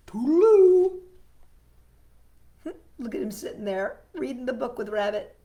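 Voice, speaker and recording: Female children's storytime host: highish, mellow, expressive read-aloud with asides. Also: brisk, reverberant. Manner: expressive, addressing young listeners with questions.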